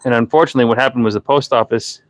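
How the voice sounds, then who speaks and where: A man's voice: medium pitch, fairly even intonation, very fast, no room sound. A man in his thirties from New Hampshire with a light New Hampshire accent, chatting casually and rambling on a livestream while packing orders. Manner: rambling, chatty, self-correcting. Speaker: livestream host.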